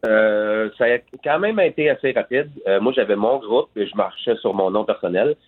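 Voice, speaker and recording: A man, a guitarist speaking relaxed and informally as an interview guest. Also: on the low side, normal speed, breathy edge. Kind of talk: casual interview chat. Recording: tinny phone line.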